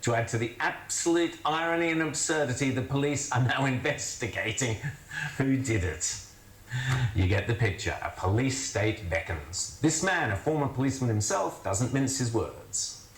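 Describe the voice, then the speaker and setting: A man's voice: medium pitch, lively intonation, normal speed, reverberant. A man from Australia delivering wry, scornful news commentary. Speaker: commentator host.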